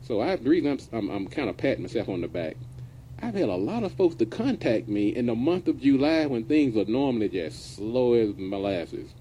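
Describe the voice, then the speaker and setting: A man's voice: mid-range voice, very animated, a little fast, dark tone, a little hiss or hum, low-quality recording. A middle-aged man hosting a business radio show, talking in a folksy, casual way and now and then dropping verbs. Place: radio studio.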